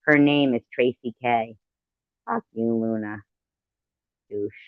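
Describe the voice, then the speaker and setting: A man's voice: mid-range voice, slow, no room sound. Dull-sounding, argumentative man commentating. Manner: argumentative, blunt, swearing. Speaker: live stream host. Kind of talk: reaction commentary over played clips. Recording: dull lo-fi close microphone.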